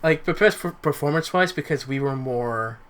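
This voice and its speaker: Casual higher-voiced man chatting. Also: fairly bright tone, smooth. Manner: halting, repeats words as he starts. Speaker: podcast co-host. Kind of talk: casual chat.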